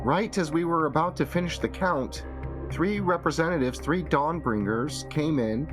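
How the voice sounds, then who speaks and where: Man voicing a hesitant, higher-pitched regretful wife. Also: music in the background, close to an everyday voice. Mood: earnest explaining. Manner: hesitant, halting, earnest. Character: regretful, flustered woman, a wife pleading her case. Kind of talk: tabletop roleplay acting.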